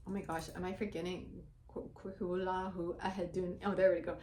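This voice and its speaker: Blunt, outspoken low-voiced woman. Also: flat delivery, brisk, darkish tone, slight background noise. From Somalia. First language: Somali. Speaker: vlogger. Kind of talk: casual talk to camera.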